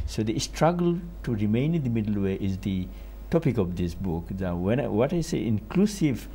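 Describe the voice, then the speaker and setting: An older man from Bangladesh, speaking as an author on a TV panel in a studio, measured and rising for emphasis. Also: on the low side, big pitch swings, dark tone, slight background noise. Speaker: author guest. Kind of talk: TV panel discussion. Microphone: lapel.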